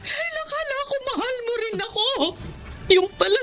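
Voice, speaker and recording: A wounded Filipino mother in a radio drama. Her voice sits extremely high. She scolds with raw emotion, fed up and betrayed. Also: animated, normal speed, crowd noise. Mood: furious, tearful. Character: hurt, fed-up mother, a woman betrayed by lover.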